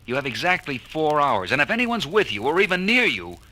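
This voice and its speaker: A cold, menacing kidnapper from a seventies adventure record. His voice is sharp and forceful, with an animated swing in pitch and a clipped, commanding manner. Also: brisk, exaggerated voice. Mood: cold, threatening. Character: curt, threatening male kidnapper on a ransom call. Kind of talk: 1970s children's record audio drama acting.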